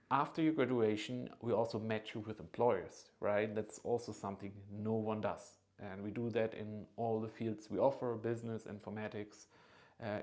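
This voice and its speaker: A man in his thirties giving an informal, earnest promotional pitch as a consultant, persuasive and often checking in with the listener. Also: darkish tone. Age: 30s. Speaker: agency founder and study consultant.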